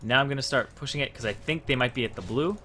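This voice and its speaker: A man's voice: medium pitch, fast talker, pushed and bright. A young man giving casual, explanatory gameplay commentary, thinking aloud as he plays. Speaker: gamer making a strategy guide. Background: faint game music and sounds.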